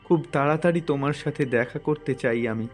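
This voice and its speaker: Cartoon lead: man as earnest, wistful young man, slightly high pitch, music underneath. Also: fast talker, close to an everyday voice. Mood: tender, resolved. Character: earnest, dreamy young man devoted to a letter. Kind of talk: Bengali cartoon moral story dubbing. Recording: music behind the voice.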